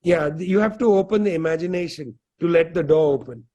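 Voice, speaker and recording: A man's voice: on the high side, a little fast, mellow. A man from India, speaking as an interview guest in earnest, conversational commentary full of rhetorical questions and "you know" fillers.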